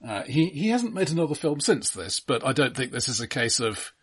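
Man, husky, hesitant and measured, dry understatement, casual film podcast talk. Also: medium pitch, big pitch swings.

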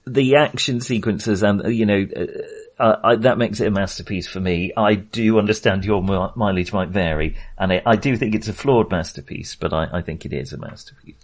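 Low-pitched man chatting about films. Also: a little fast. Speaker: film podcast co-host. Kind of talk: casual film discussion.

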